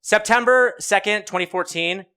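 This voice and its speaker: A man's voice: sits high, normal speed, sharp tone, clean tone. A man hosting a podcast, chatting informally as he steers a panel discussion. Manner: informal, sometimes swearing. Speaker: podcast host. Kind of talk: casual panel podcast chat.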